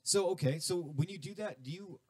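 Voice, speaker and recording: A man's voice: sits high, moderate pace, a little mellow, no room sound. A middle-aged man hosting a radio show from a studio, chatting casually with stumbling restarts and easy swearing. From Southern California. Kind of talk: casual radio interview chat.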